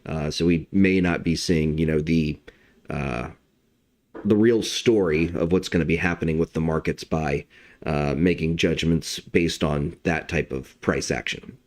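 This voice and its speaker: A very low-pitched man with a slightly hoarse voice, hosting a live trading stream. He speaks in a relaxed, conversational way and fills his pauses with uh and um. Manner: relaxed, lots of fillers like uh and um. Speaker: trading analyst host. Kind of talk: casual live-stream market commentary.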